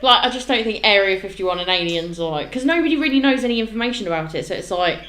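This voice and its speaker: A woman chats loudly on her podcast, her voice swooping up and down as she laughs and cuts in. The sound bounces around an echoey room. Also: medium pitch, animated, fast. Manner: loud, talks over people, laughs often. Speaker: podcast co-host. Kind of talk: casual chat.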